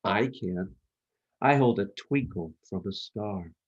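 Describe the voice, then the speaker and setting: A man voicing an eager, curious little boy gnome in a storybook, with an excited, wondering manner. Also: on the low side, takes it slowly, breathy edge, lo-fi sound, full character voice. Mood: proud, determined.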